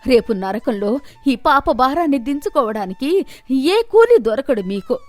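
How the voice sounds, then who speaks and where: A woman's voice: high voice, sing-song, a little slow, a little mellow, dry recording, pitched above the performer's own voice. A woman voicing a shrewd, poor old village woman in a moral story, respectful in address but righteously firm, over music. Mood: righteous indignation. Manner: pleading, respectful address building to stern rebuke.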